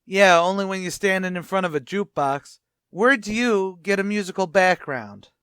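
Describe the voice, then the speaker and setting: A man's voice: high-pitched, animated, moderate pace, fairly bright tone, clean tone, no echo, clean studio sound. A man playing a boastful, wisecracking tavern manager in an old-time radio comedy, with a pompous, blustery delivery.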